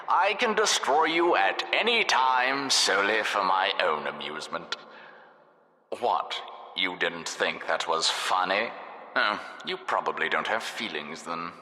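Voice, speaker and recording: A man's voice: low voice, bright, forceful tone, full character voice. A man voicing a smug, sadistic test-lab overseer in a video game, mock-polite, sarcastic and theatrical. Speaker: game narrator. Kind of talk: scripted video game narration. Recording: echoey, reverberant hall sound.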